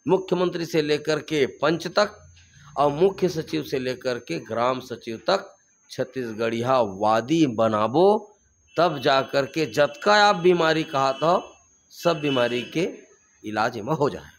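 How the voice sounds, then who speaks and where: A man with a Chhattisgarhi accent speaks with fervent conviction, his voice sitting a little high for a man. He stacks phrase on phrase in rhetorical chains and pushes up hard on the words he wants to land. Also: lively intonation, measured pace. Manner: fervent, repetitive rhetorical build-ups, rising emphatically on key points. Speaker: activist leader being interviewed. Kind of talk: impassioned political interview answers.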